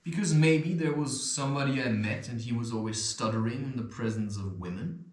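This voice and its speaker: A man's voice: medium pitch, brisk, darkish tone. A man with an occasional stutter giving loose, rambling spiritual advice, swearing and chuckling as he talks. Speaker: self-help video host. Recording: echoey room.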